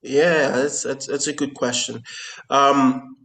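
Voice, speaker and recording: Curacao-guy, papiamento speaker, earnest, keeps restarting words, mid pitch, remote call. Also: flat delivery, slow, creaky, a bit bright, poor audio. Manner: earnest, repeats words as he searches for phrasing. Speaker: company founder, interview guest. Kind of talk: explanatory business conversation.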